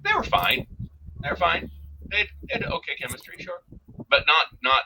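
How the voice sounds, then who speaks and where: A man's voice: high-pitched, wildly swooping pitch, normal speed, creaky, noisy background. A man giving emphatic opinions as a guest in a casual panel chat on a group call.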